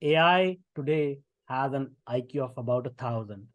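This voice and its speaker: A man's voice: mid-range voice, normal speed, no room sound. A middle-aged man from India with an Indian English accent, a podcast guest speaking conversationally with many fillers and restarts. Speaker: guest, tech founder. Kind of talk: podcast interview.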